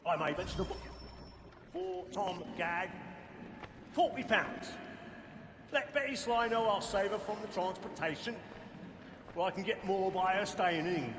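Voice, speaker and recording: A high-pitched, pompous stage rogue. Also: male voice, a little slow, pauses often, background noise. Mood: smug, pontificating. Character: pompous, roguish crime boss in a period stage play. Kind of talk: theatrical period stage acting. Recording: echoey theater hall under loud music.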